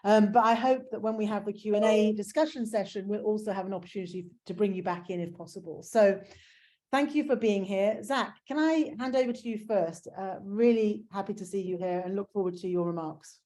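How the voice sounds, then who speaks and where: A woman moderating an online book launch, introducing the panelists in a measured way with frequent fillers. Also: medium pitch, fairly even intonation, a little mellow. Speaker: webinar moderator. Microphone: video call.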